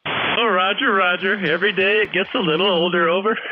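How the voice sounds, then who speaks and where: A narrow, tinny radio signal with clear noise carries a man in his seventies. His voice sits high for a man, and he chats in a wry, folksy, halting way over the air. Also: steady tone. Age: 70s. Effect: two-way radio transmission. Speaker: amateur radio operator, caller. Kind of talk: casual ham radio chat.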